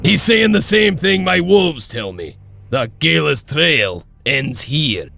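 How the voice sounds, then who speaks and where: Raspy, excitable wolf-tracker scout. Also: male voice, on the high side, takes it slowly, full character voice. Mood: eager. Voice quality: raspy, gravelly. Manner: excitable, exclamatory. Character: feisty, excitable male wolf-tracker scout, gruff and scornful. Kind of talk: video game voice acting.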